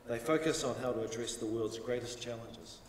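A man in his forties delivers a formal welcome, measured and steady, letting each phrase fall away at its end. His mid-range voice is picked up from a distance. A long hall reverb and faint hiss surround him. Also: medium pitch. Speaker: university welcome speaker. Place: conference room, at a lectern.

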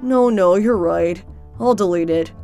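A woman voicing an earnest, hesitant, soft-hearted teenage boy in a fan comic dub. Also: lowish voice, a little slow. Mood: sheepish. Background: music.

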